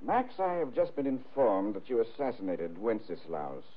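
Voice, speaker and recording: Pompous villainous boss, lowish swooping voice, formal menacing wit, thin vintage radio sound. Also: male voice, sing-song, moderate pace. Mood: cold irritation. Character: suave, menacing, theatrical male crime boss. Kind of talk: 1950s radio drama acting. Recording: narrowband 1950s radio broadcast.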